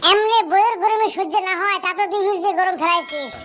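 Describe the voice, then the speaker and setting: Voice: fast talker, music in the background. A performer voicing crude, whining cartoon village youths in a comedy dub, with the voice shifted up to a childlike sound. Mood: whiny complaining. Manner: coarse, bantering, complaining. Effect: pitch-shifted up, childlike.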